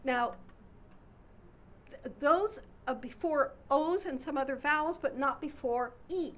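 A woman giving an academic conference presentation on her research in a hesitant way, with pauses between phrases. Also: sits high.

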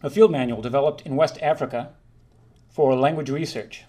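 A man presenting a prepared linguistics talk, reading in a measured, formal way and sometimes correcting himself. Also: medium pitch, warm tone. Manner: measured, formal delivery with occasional self-corrections.

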